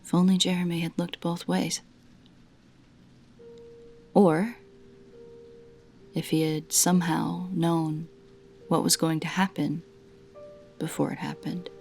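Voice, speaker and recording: Slow, lower-pitched woman narrating horror. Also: level tone, gravelly, a little mellow, faint music behind. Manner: measured, dramatic pauses between short phrases. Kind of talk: scripted narration, first-person creepy story.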